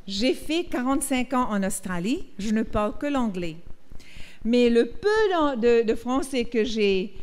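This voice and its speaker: A middle-aged woman from Mauritius, slowly reading a sermon aloud, with hesitations and restarts. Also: a little high, a little mellow, slight background noise. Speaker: preacher. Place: church.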